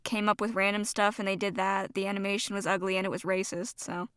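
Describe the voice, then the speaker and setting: A woman's voice: mid-range voice, level tone, fast, fairly bright tone, clean tone. A woman co-hosting a podcast in casual chat, speaking in a blunt, terse manner. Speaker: podcast co-host.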